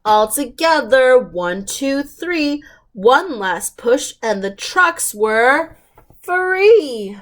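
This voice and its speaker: A woman reads picture books aloud as a children's storytime host. Her voice sits a little high and she takes it very slowly, with simple, emphatic phrasing aimed at young listeners. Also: sing-song, fairly bright tone. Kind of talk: picture book read-aloud with questions to listeners.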